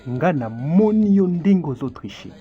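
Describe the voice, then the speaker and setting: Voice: mid-range voice, big pitch swings, measured pace. A performer voicing a stern, accusing, authoritative schoolteacher in a cartoon, on a dull recording. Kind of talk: cartoon character dialogue. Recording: dull lo-fi audio with music behind.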